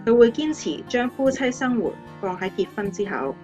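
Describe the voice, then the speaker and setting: An actress playing an adoring young fiancée in a radio drama interview, earnest and affectionate. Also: female voice, fairly high pitch, big pitch swings, moderate pace, mellow. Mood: loving. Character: adoring, happy young fiancée of a motivational speaker. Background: guitar music.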